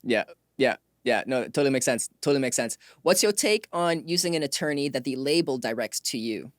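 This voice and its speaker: Measured male interviewer, dry sound. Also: medium pitch, animated, dry recording. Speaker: podcast host, interviewer. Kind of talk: conversational interviewing.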